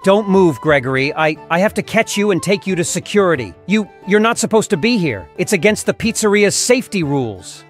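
A man plays a courteous robot bear in a cartoon dub. His voice sits on the higher side for a man. He is anxious and protective, often hesitant and stammering, with music behind him. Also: lively intonation, a little fast, hardly any pauses. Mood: struggling, alarmed. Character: polite, anxious male bear animatronic, protective and apologetic. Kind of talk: comic dub character acting.

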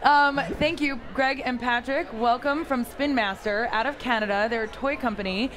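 Bubbly loud woman hosting. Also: on the high side, normal speed. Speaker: host. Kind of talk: live convention interview. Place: booth at a busy convention hall.

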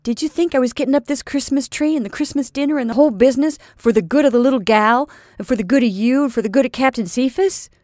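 A woman voicing an earnest old male sea captain who talks in loud, salty seafaring phrases. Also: mid-range voice, normal speed, dry recording, clean studio sound, close to an everyday voice.

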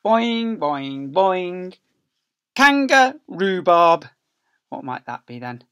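A man's voice: sits high, sing-song, extremely slow, a bit bright, clear, resonant tone. Playful middle-aged man reading to children. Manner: playful, stretches key words and makes sound effects. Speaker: school teacher. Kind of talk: reading a picture book aloud to young children. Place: small indoor office. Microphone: laptop webcam at close range.

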